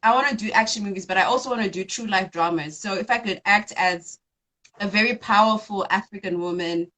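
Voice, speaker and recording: A woman in her twenties from Zimbabwe, an actress chatting in an interview in a bubbly, animated way, often laughing. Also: medium pitch, brisk. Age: 20s. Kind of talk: casual interview chat.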